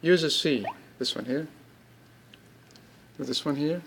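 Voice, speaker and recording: A man giving an informal, unscripted product demonstration, speaking haltingly in short pieced-together bursts. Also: fairly high pitch, vocal fry, fairly bright tone, a little hiss or hum. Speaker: app demonstrator.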